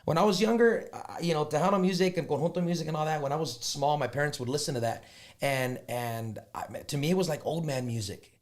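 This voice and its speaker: A man's voice: a little high, fast talker. A middle-aged man from San Antonio, a musician guest talking in an easygoing, rambling way full of restarts and you knows. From San Antonio, Texas.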